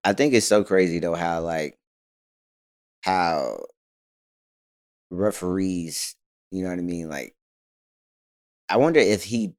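Low-voiced man chatting in a dry room. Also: slow pace, long pauses, no room sound. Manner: slangy, conversational, seeks agreement. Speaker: podcast host. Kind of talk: casual chat.

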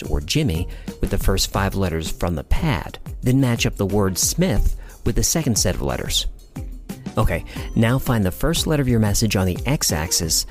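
Casual explainer host over background music. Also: deep voice. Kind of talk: scripted narration with casual asides.

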